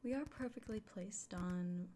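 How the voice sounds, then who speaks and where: A woman playing a kindly village innkeeper in a fantasy ASMR roleplay, speaking in a soft, breathy whisper with gentle, hesitant pauses. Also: medium pitch, natural voice. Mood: earnest, encouraging. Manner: gentle, unhurried, hesitant pauses, fussing over the listener. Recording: close soft recording with clear background noise.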